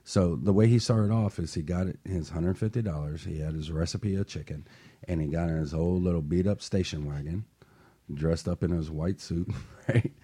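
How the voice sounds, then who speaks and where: A male talk show host with a very low voice. He tells stories in a loose, chatty way and keeps asking "right?" to check in. His tone is a little husky. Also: moderate pace, warm tone. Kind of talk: casual talk show storytelling.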